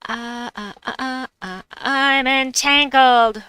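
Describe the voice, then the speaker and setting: A woman's voice: high-pitched, fairly even intonation, takes it slowly, pushed and bright, clear, resonant tone, dry recording. A woman with a slight New York accent, streaming a game and chatting with her viewers as she plays. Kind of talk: casual live-stream chat while gaming.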